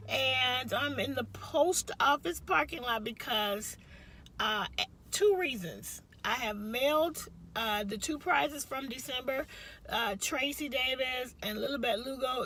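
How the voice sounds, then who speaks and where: Chatty bright-voiced woman in a car. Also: high-pitched, takes it slowly, slight background noise. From Chicago, US Midwest. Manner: chatty, rambling, laughs often. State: slight cough, recovering voice. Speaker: craft vlogger. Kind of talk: casual chat to camera. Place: parked car.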